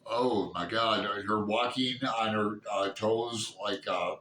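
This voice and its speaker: A man in his sixties chatting casually and unscripted about books, halting and rambling as he thinks aloud. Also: on the low side, steady tone, bright, forceful tone. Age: 60s. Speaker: book reviewer vlogger. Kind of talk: casual unscripted book chat. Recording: roomy echo, distant mic, background noise.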